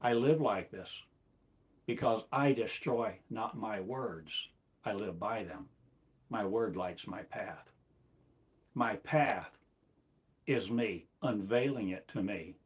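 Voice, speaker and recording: A man's voice: lowish voice, slow, long pauses. A man delivering a rambling, self-recorded sermon, asking one repetitive, incantatory rhetorical question after another. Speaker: self-styled preacher.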